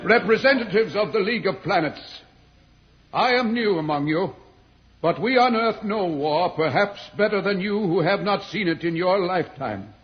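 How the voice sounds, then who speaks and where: An earnest professor in an old radio space serial. His voice is high for a man, his delivery formal and pleading. The sound is dull and vintage. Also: expressive, moderate pace. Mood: reasoning. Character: earnest, reasoning male professor from Earth.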